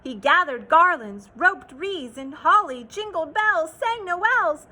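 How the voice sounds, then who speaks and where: A woman reading a children's picture book aloud in an expressive, playful, sing-song way, with asides to young listeners. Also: extremely high pitch, slow pace. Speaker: children's read-aloud storyteller.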